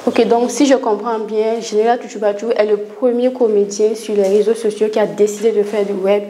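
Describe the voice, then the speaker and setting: A woman's voice: medium pitch, level tone, brisk, dark tone, slight background noise. A woman hosting an interview, asking long probing questions and giving short acknowledgments. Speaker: interviewer host.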